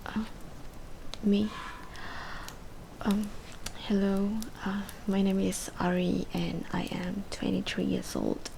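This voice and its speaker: A woman playing a withdrawn, depressed patient in her twenties in an amateur radio drama, speaking haltingly. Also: mid-range voice, expressive, vocal fry, mellow, a little hiss or hum, poor audio. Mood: hesitant, downcast. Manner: hesitant, halting, breaking into whispers. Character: withdrawn, depressed twenty-three-year-old patient confessing painfully. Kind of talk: amateur radio drama acting, confessional monologue.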